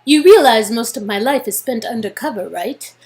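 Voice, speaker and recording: A woman's voice: a little high, animated, normal speed, a little mellow, faint music behind. A woman playing a blunt, worldly undercover agent who speaks in a curt, matter-of-fact way. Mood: dismissive.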